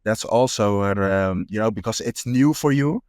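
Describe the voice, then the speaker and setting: A man's voice: on the low side, moderate pace, a little mellow, dry recording, clean studio sound. A young man from the Netherlands, a street photographer talking casually as a guest, with many fillers and restarts. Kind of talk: casual interview chat.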